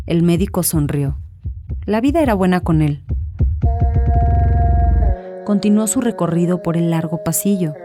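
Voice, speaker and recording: A woman with a fairly low voice narrates a dramatized story. Her delivery is scripted storytelling, with clearly audible music underneath. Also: dark tone. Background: background music.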